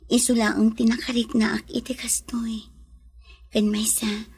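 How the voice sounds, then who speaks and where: A woman playing a tender but resolute young lady in love in an audio drama, with a breathy, husky voice. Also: a little high, full character voice. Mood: hopeful, secretive.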